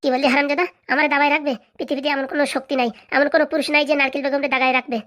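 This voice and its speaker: A woman performs a bossy middle-aged cartoon wife with a high voice and extremely fast delivery. She scolds and threatens with a boastful, scheming edge, in a foul-mouthed comic style. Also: little pitch movement, a bit bright. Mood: scolding.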